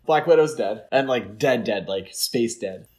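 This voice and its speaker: A man's voice: medium pitch, animated, a little slow, a little mellow. A man co-hosting a podcast, chatting about films in an easygoing, jokey and conversational style. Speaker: podcast co-host. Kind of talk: casual chat, film discussion.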